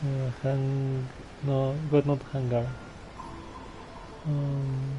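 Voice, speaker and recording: Male game streamer, offhand reactions, average pitch, muffled lo-fi recording. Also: monotone, drawn-out words. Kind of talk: casual gameplay reactions. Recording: dull lo-fi mic, faint noise.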